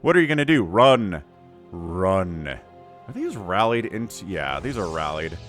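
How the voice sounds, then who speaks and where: A man's voice: low-pitched, unhurried, soft background music. A man casting a strategy video game replay in a casual, jokey play-by-play style, chatting between the action. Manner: animated, chatty, jokey, with laughs and hummed fillers. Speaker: game shoutcaster.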